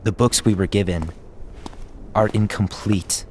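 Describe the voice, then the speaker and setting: A man's voice: fairly low pitch, steady tone, unhurried, slight background noise, lightly acted. A man playing a confident, secretive apprentice in a dramatic video game cutscene, speaking earnestly. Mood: grave, determined. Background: music.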